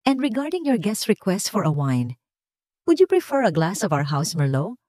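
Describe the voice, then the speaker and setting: A woman narrator voicing a poised young waitress in an audio story, calm and polite but pointed, with careful, emphatic diction. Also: mid-range voice, normal speed, dry recording, studio quality. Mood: politely pointed.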